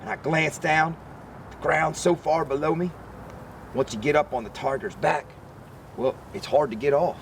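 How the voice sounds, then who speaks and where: A man reading a children's picture book aloud as an expressive narrator. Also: fairly high pitch, normal speed, vocal fry, pauses often, slight background noise. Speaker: story reader.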